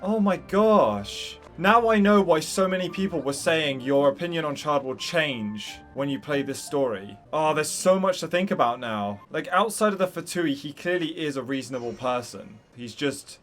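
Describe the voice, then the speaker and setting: A man's voice: a little high, animated, moderate pace, few pauses, a bit bright, faint music behind. A man giving casual commentary on a game playthrough as the host of a gaming video.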